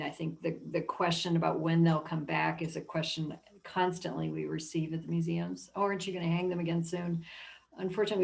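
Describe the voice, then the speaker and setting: A woman's voice: sits low, creaky, darkish tone. A woman speaking as a museum curator in an informal panel discussion.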